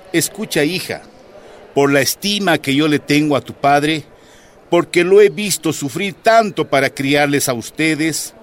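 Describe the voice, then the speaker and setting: An actor playing a police captain who makes slow, cold threats in a radio drama. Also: male voice, a little high, a little hiss or hum. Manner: slow, menacing.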